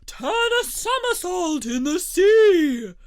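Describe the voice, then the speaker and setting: A woman voicing a melodramatic, sentimental old turtle creature from a storybook in a slow, drawn-out, swooping delivery. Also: mid-range voice, animated, darkish tone, no echo. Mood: wildly excited. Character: melodramatic, sentimental old Mock Turtle, excitable then mournful.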